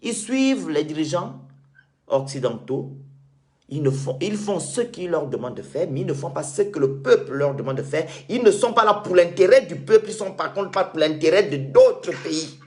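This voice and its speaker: A man's voice: a little high, sing-song, moderate pace. An insistent man hosts a call-in debate. He presses his points hard and trips over repeated words as he pushes ahead. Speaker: show host.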